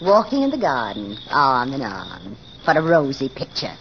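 A woman's voice: a bass voice, huge pitch swings, normal speed, exaggerated voice. A woman playing a temperamental, jealous, menacing actress with biting sarcasm in 1940s radio melodrama style. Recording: muffled, hissy old radio recording.

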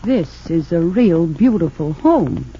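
Wry, lively lady lawyer, muffled. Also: fairly low pitch, slow, a little hiss or hum, full character voice. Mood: wry, teasing. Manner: wry, familiar. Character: sharp, teasing professional woman lawyer. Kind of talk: 1940s-50s radio drama acting. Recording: dull lo-fi old radio recording.

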